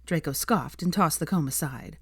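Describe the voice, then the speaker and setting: A woman's voice: sits low, normal speed, husky, no echo, studio quality. A woman reading fiction aloud as an audiobook narrator, in scripted storytelling style.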